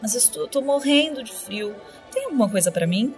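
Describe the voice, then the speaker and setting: A woman's voice: a little high, lively intonation, a little fast, darkish tone, soft background music. A woman playing a weary, troubled traveller in an audio drama, speaking in a subdued, wry way. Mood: wry, friendly. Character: weary, troubled female traveller visiting a friend.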